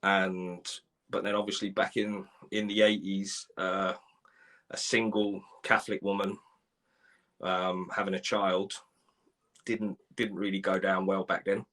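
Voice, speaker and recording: A man in his forties from Ireland, telling his life story in a live interview, halting, with many restarts and repeated words. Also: sits low, flat delivery, fairly bright tone. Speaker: guest.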